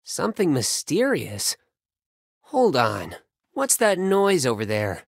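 Boyish, animated young pop singer. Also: male voice, fairly high pitch, slow, creaky, no echo, clean studio sound, exaggerated voice. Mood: playful, teasing. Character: playful, affectionate young male pop singer. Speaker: voice actor in a mobile romance game. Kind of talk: scripted game phone-call dialogue.

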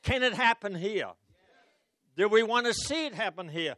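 A man's voice: high-pitched, lively intonation, normal speed, no room sound. An older man with an Australian accent, preaching a sermon on a church stage into a handheld mic, telling stories and calling out to the congregation. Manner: storytelling preacher, rising to loud exclamations and calling out to the congregation. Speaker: pastor.

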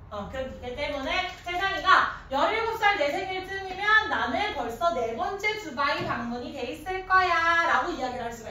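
A woman in her thirties teaching a grammar class in an animated, informal way, often quizzing students with questions. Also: sits high. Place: indoor classroom at a chalkboard. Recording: echoey classroom from a distance, faint noise.

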